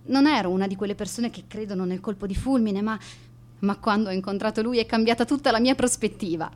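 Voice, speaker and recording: A woman's voice: mid-range voice, fast, lightly acted. A young woman acting in a radio drama as a romantic, sincere teenage schoolgirl, earnest and emotional. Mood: dreamy, earnest.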